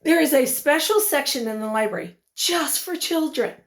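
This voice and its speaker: A woman teacher reading a picture book aloud to young children, expressively, pausing to put questions to them. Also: sits high, animated.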